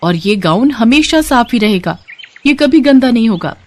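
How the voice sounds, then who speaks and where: A woman voicing a kind, grateful fairy, speaking in a gentle, reassuring and instructive way for a children's story. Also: on the high side, animated, moderate pace, pitched above the performer's own voice, close to an everyday voice. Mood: kindly instructive. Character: kind, grateful young female fairy granting wishes.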